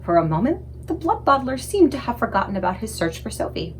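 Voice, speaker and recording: A woman narrating a children's storybook aloud in an expressive, scripted read-aloud style. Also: on the high side, fairly quick, a little hiss or hum.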